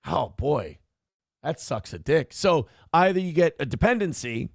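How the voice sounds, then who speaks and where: A man in his late thirties talks casually, cursing and correcting himself as he goes. His voice sits a bit high for a man and swings widely in pitch. The sound is dry and close. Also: unhurried, dry recording. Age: 30s. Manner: animated, swearing, self-correcting. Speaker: co-host. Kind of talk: casual chat.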